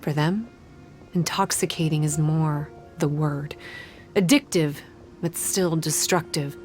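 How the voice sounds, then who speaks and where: Formal, calm female game guide, slightly low register, instructive and measured, over faint music. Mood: serious, explanatory. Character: composed, formal female priestess-like quest guide. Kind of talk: English video game dialogue.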